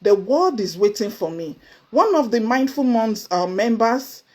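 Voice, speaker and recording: A woman with a Nigerian accent giving a motivational talk to camera, earnest and emphatic, with sudden high exclamations. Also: lively intonation, a little slow. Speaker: motivational speaker. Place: indoors, seated before camera.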